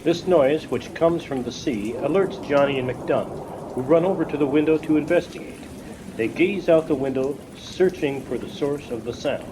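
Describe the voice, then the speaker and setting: A man's voice: a little high, moderate pace, close to an everyday voice. A man playing the narrator of a student radio play, reading scripted scene descriptions in a matter-of-fact way. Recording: rain noise behind. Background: rain.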